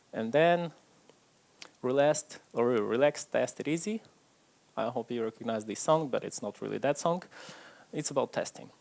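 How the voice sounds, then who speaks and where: Male conference speaker, chatty and self-deprecating, middle pitch, speaking to a live crowd. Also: a little mellow. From Ukraine. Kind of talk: tech conference talk. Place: stage before a live audience.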